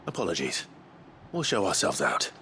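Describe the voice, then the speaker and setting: A man playing a brooding, resolute young noble outlaw in a video game, speaking in a low, measured, terse way. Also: wildly swooping pitch, background noise. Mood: wry. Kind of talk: dramatic video game acting.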